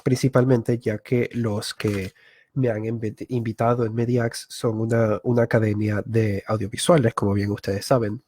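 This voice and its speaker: A man's voice: fairly low pitch, level tone, a little mellow, husky, dry recording. Man giving a dry live-streamed talk. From Venezuela. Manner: thoughtful, self-correcting, many filler pauses. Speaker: YouTuber giving a live online masterclass.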